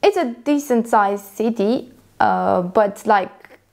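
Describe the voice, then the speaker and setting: A young woman from Romania talks casually to the camera about her work as a photographer. She rambles, restarts her sentences and fills pauses with ums, in a voice of ordinary pitch. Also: vocal fry, darkish tone. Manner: rambling, self-correcting, many fillers. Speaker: photographer vlogger.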